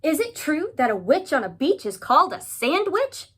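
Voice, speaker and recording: Giggly ghoul schoolgirl comedian, read by a woman: high, lively swoops, playful punchlines, storybook style. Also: moderate pace. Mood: cheeky joking. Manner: punchy, playful joke delivery. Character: joke-cracking, giggly young girl ghoul, cheeky schoolkid comedian.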